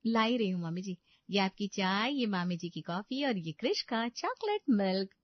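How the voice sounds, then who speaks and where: A woman's voice: medium pitch, sing-song, moderate pace, no room sound, dull audio, lightly acted. A woman voicing a devoted, cheerful young daughter-in-law in a story, polite, reassuring and eager to please.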